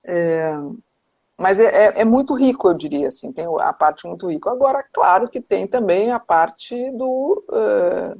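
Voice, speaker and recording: A woman's voice: mid-range voice, lively intonation, unhurried, vocal fry, a little mellow. A woman psychoanalyst, talking in a casual, hesitant way with many restarts and tag questions on a live video call. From Brazil. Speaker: psychoanalyst guest. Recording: dull lo-fi video call audio.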